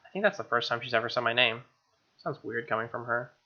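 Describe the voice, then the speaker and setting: A man's voice: mid-range voice, fast talker, sharp tone. A man hosting a gaming video, reading a visual novel aloud with casual, snarky and profane asides. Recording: dull lo-fi mic over music. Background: game music with guitar.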